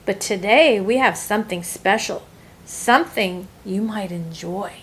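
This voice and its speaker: A woman's voice: medium pitch, measured pace, a bit bright, natural voice. Kindly female librarian for a picture book, read by a woman, encouraging and inviting, slight background noise.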